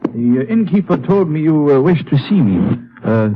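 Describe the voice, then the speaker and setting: An actor playing an earnest, hesitant mountain guide in an old-time radio drama. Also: male voice, fairly high pitch, big pitch swings, normal speed. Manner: hesitant, simple. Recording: tinny, narrow old radio recording.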